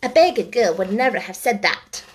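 Woman as a prim young girl, high and emphatic. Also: big pitch swings, normal speed, slightly rough. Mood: decided. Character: prim, worried, decisive young girl, bossy sister. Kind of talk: storybook character voice.

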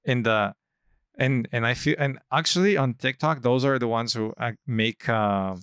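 Man, podcast host, smooth clear voice, halting, dry close mic. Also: medium pitch, a bit bright, no echo. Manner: halting, searches for words, many restarts. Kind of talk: casual podcast chat. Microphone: studio podcast mic.

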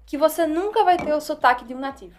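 A woman's voice: high voice, lively intonation, quick pace, soft background music. A young woman with a Northeastern Brazilian accent, chatting earnestly and animatedly about her studies. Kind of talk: casual chat.

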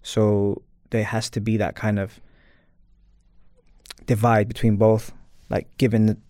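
A man's voice: lowish voice, flat delivery, measured pace, warm tone, no echo, clean studio sound. A man speaking as an interview guest, talking earnestly and reflectively about his personal life.

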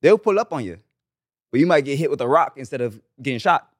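A man's voice: mid-range voice, expressive, fast, no echo, studio quality. A man from Barbados, guest on a podcast, chatting casually and easygoing, often adding "you know what I'm saying.". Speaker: guest musician.

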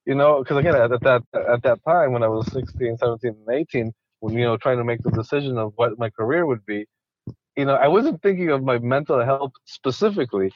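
A man's voice: medium pitch, fairly quick, dry recording, muffled recording. A man from Ecuador, a conservationist guest, talking casually in an interview with many restarts and repeated words.

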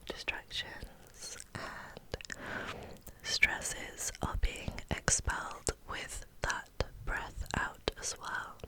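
A woman gently guiding a relaxation as an ASMR host, in a breathy, soothing whisper. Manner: gentle, soothing, unhurried instruction.

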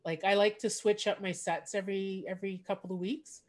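A woman's voice: medium pitch, fairly even intonation, a little fast. A woman from Canada, a stand-up comedian chatting casually on a video call, laughing often and trailing off in filler-heavy phrases.

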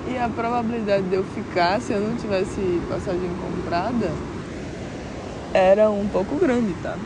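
A woman's voice: mid-range voice, a little slow, long pauses, heavy background noise. A young woman vlogging outdoors in a casual, slang-filled reflective chat, speaking directly to her viewers. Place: outdoors on a clifftop by the beach.

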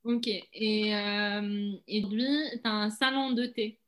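A woman's voice: medium pitch, fairly even intonation, takes it slowly. A woman hosting a podcast, who reads a scripted welcome and then asks brief questions. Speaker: podcast host. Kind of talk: podcast interview.

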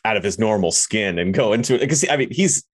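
A man's voice: lowish voice, animated, quick pace, no room sound, studio quality. A man hosting a casual podcast interview, easygoing and appreciative of his guest.

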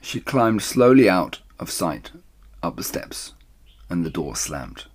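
A man reading a children's story aloud as a dramatic storyteller, suspenseful and hushed at moments. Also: sits low, sing-song, takes it slowly, husky.

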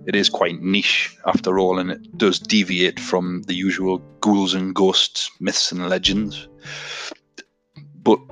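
A man with a low-pitched voice narrates a podcast in a fairly even, flat intonation. His tone is bright and forceful, and he talks conversationally to his listeners over background music. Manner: conversational, addresses listeners directly. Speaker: podcast host. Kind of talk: scripted podcast narration with casual asides.

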